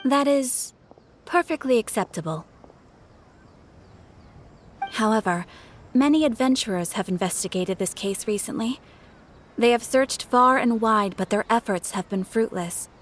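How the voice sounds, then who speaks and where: A woman playing a composed, polite guild receptionist in a video game, speaking in a formal, measured, reassuring way. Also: fairly high pitch, slight background noise, lightly acted.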